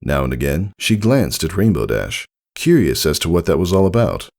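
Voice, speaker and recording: A man's voice: low-pitched, sing-song, moderate pace, darkish tone. A man narrating a scripted story in the style of an audiobook reading.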